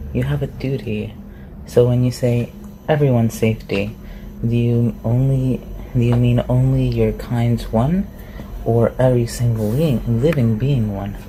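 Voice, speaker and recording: Fantasy ASMR roleplay: performer as reclusive shapeshifter, chatty, curious, socially awkward, mid-pitched, clearly noisy home recording. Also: warm tone, lightly acted. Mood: attentive. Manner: inquisitive, hesitant, rambling questions. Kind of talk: roleplay ASMR audio acting.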